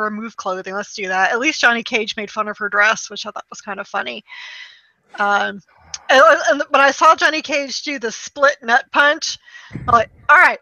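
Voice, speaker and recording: Bright-voiced woman chatting casually. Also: on the high side, no room sound, dull audio. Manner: informal, expressive, quotes people's reactions. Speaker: podcast co-host reviewing movies. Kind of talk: casual chat, animated anecdote-telling.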